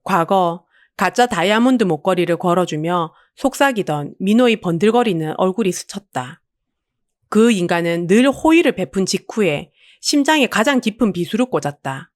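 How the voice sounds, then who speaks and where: Female storyteller, dramatic audiobook narration, lively intonation, close dry sound. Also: mid-range voice, normal speed, no echo.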